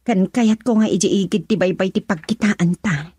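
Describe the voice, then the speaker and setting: An actress playing a guarded, testy woman with a slightly husky voice in a radio drama. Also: mid-range voice, normal speed, dark tone, no room sound, studio quality, close to an everyday voice.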